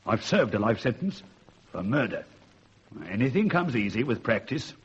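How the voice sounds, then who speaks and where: Coolly sardonic older male killer, radio play; rough-edged husky voice, wordy, dull recording. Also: mid-range voice, very animated. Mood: cold, bitter. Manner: measured, wordy, mock-courteous. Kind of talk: radio drama acting.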